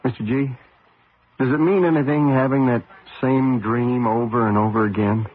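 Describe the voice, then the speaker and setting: An actor playing a troubled, devoted husband and former race driver in a radio mystery drama, speaking in a halting, emotional way. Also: male voice, medium pitch, steady tone, a little hiss or hum, lightly acted. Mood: brooding. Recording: muffled narrow-band old radio recording.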